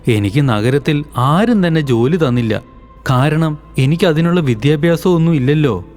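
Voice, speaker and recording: A man voicing a hardworking young village laborer who talks in an earnest, pleading way, for an animated storybook. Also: on the high side, normal speed, faint music behind, natural voice.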